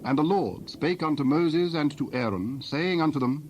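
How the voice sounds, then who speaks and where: A man's voice: a little high, expressive, a little mellow, slight background noise. Solemn male scripture narrator, faint ambience. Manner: solemn, measured.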